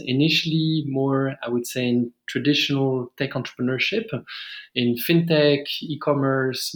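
French guy on a podcast call, thinks out loud, lots of ums, middle pitch. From France. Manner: thoughtful, measured, frequent fillers and restarts. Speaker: guest, startup founder. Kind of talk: podcast interview over a call.

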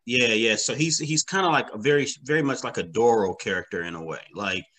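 Man, interview guest, medium pitch, sharp bright voice, casual slangy talk, halting delivery. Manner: halting, repeats words, slangy, often says "man" and "you know". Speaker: interview guest, comic book creator.

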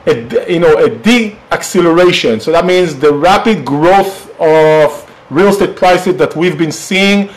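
A middle-aged man whose first language is Hebrew, hosting a live real estate investing Q and A in a halting way with many fillers. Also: sits high, low-quality recording. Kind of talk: live Q and A session.

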